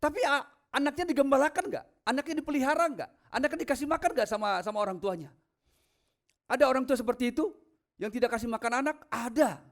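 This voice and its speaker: A man preaching as a pastor, emphatic, questioning the congregation and swinging from low asides to loud proclamation. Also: fast. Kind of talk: sermon with scripture readings.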